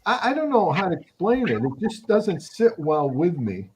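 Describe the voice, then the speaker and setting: Older male guest, reflective and halting, animated pitch, faint background hiss. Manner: thoughtful, hesitant, many restarts and fillers. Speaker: guest anthropologist. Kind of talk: reflective conversational interview answers.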